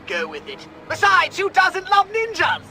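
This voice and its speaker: Shrill, fussy alien commander. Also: male voice, wildly swooping pitch, measured pace, creaky, pushed and bright, soft background music, exaggerated voice. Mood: breezy. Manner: prim, theatrical, exasperated. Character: fussy, imperious alien commander giving orders. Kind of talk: video game cutscene acting.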